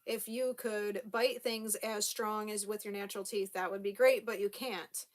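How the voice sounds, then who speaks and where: A woman's voice: mid-range voice, fairly even intonation, moderate pace. A young woman with a slight lisp, chatting casually to camera at home as she reads viewer comments, laughing often. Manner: chatty, laughs often, many fillers and restarts. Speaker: vlogger reading viewer comments.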